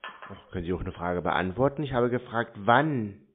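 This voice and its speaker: A man's voice: fairly low pitch, expressive, normal speed. A man on a phone call, answering tersely and challenging the caller with questions. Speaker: prank call recipient baiting telemarketers. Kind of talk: phone conversation. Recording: noisy, tinny phone-like sound.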